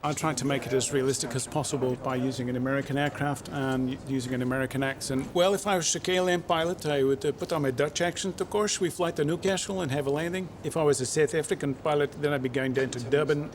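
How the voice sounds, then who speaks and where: A man, a former pilot, casually explaining training simulations with relaxed fillers. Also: mid-range voice, quick pace, hardly any pauses, background noise.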